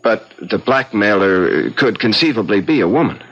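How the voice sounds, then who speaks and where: A man's voice: fairly low pitch, expressive, moderate pace, a bit bright. An actor playing a brash, glib, money-hungry agency man in a 1970s radio drama. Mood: slick, probing. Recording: muffled old radio broadcast.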